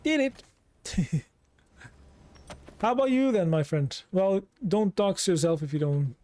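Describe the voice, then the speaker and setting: A man's voice: sits high, big pitch swings, slow, mellow. A man with a Norwegian accent giving chatty let's play commentary, reacting aloud and talking to his chat. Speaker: streamer.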